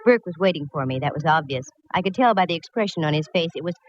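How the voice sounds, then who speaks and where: A woman's voice: deep voice, expressive, highs cut off, slight background noise. An actress playing a breezy, wisecracking female private eye in 1940s radio drama style, glib and sardonic, on a vintage recording. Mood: wry, confident. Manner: glib, sardonic, quick with quips.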